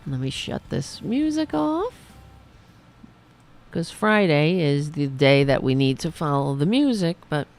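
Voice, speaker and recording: Hoarse woman hosting a political livestream. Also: low voice, very animated, measured pace, a little mellow, faint music behind. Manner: rambling, sarcastic, talks to chat. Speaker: political talk show host. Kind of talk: casual livestream commentary.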